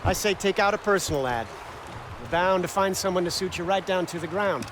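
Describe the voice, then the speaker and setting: An actor playing a roguish older American adventurer in a video game, with a folksy, teasing manner and wry charm. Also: male voice, sits high, brisk, background noise, close to an everyday voice. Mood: cocky, wry. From United States. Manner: folksy, teasing, drawling wisecracks. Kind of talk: video game cutscene acting.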